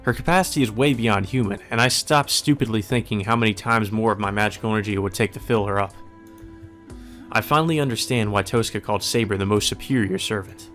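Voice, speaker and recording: A man's voice: lowish voice, fast talker, a bit bright. A man reading a visual novel aloud as a let's player, mixing plain narration with casual, wry asides. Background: music.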